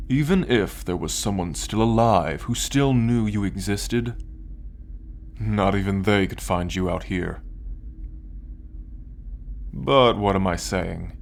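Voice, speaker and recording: A man playing an arrogant, possessive alien soldier in an ASMR roleplay, condescending and menacing, speaking with deliberate pauses. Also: lowish voice, a little hiss or hum. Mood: cold, taunting. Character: arrogant possessive male alien soldier, cold, darkly amused captor.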